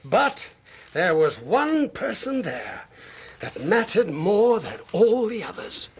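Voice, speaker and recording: An actor playing a proud, blustering older English colonel in a radio drama, with a hearty, pompous military manner of telling things. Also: male voice, sits high, huge pitch swings, slow pace, breathy edge, full character voice. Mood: hearty, boastful. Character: proud, blustering older British army colonel, doting husband.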